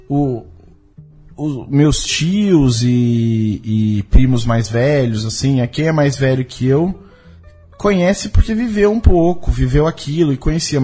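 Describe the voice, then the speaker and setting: A Brazilian man being interviewed as a film director, speaking casually and reflectively, often halting to search for his words. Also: medium pitch, mellow, slightly rough.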